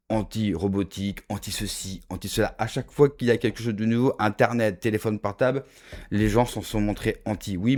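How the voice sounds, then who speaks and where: A man's voice: lowish voice, a little mellow. A man from France giving an emphatic opinion monologue to his viewers, full of rhetorical asides and direct address. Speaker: political video commentator.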